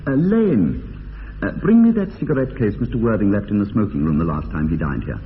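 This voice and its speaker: An actor playing an idle, witty, teasing young upper-class English bachelor in a radio comedy of manners, with arch, polished phrasing. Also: male voice, lowish voice, very animated, brisk. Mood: dry teasing. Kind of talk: radio drama acting of period comedy. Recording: tinny band-limited radio, long reverb, hiss.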